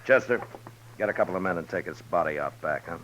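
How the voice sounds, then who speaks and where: An actor playing a terse, commanding U.S. marshal in an old-time radio western. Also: male voice, low-pitched, animated, fast, noisy background. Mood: matter-of-fact. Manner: terse, authoritative. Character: stern, commanding frontier U.S. marshal. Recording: dull vintage radio recording.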